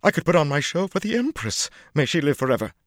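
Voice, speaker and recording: A fawning, excitable showman, put on by a male narrator. He gushes in a high, eager voice that leaps about. His words tumble with boastful excitement. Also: fast talker, no echo, studio quality. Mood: gushing. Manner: gushing, bowing and scraping, breathless excitement.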